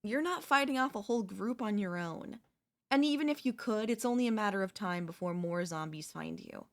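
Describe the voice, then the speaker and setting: A woman's voice: mid-range voice, lively intonation, a little fast, lightly acted. A woman voicing a chatty female zombie who cheerfully and conversationally coaxes in a comedic audio roleplay. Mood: placating, coaxing. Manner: conversational, coaxing, wheedling. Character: chatty, cheerfully persuasive female zombie craving human flesh.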